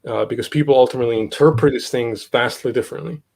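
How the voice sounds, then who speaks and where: A young man from Italy, talking casually as an interview guest, halting with many fillers and restarts. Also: medium pitch, vocal fry, darkish tone. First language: Italian. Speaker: guest, podcast host.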